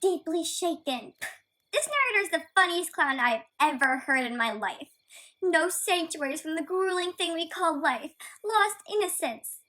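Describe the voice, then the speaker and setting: A woman's voice: extremely high pitch, lively intonation, normal speed, bright, forceful tone, heavily acted. A woman playing a sassy, defiant young kitten heroine in a radio play, with a sarcastic, irreverent manner. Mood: mocking.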